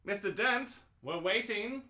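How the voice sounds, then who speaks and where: A man's voice: high-pitched, animated, a little slow, sharp tone, voice pushed up, heavily acted. A man playing a flustered, polite, officious demolition foreman in an amateur radio play, hesitant and deferential. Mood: officious, impatient. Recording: roomy, echoing live reading.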